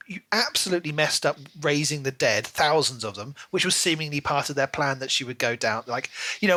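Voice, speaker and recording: Bright-voiced, emphatic man chatting. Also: a little high, no echo. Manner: emphatic, ranting, mock-exasperated. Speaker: podcast co-host reviewing books. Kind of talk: casual opinionated book chat.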